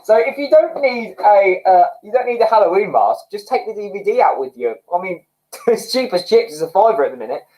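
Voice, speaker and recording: A man's voice: high voice, animated, fairly quick, dark tone, poor audio. A man hosting a casual livestream from home, reading viewer comments, chatty and self-mocking and often laughing. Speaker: livestream host. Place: home, indoors.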